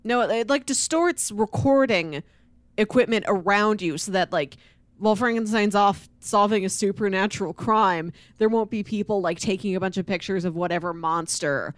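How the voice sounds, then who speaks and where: A woman with a medium-pitched voice talks casually as a podcast co-host. She explains in a conversational, slightly wry manner. The sound is very dry, free of room echo. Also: moderate pace. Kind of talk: casual comic book discussion.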